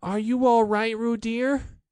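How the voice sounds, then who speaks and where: Man putting on an anxious, high-voiced mother kangaroo. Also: level tone, slow, a little mellow, smooth, no room sound, clean studio sound. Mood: anxious, calling. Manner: fretful, fussing. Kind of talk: children's storybook character voice.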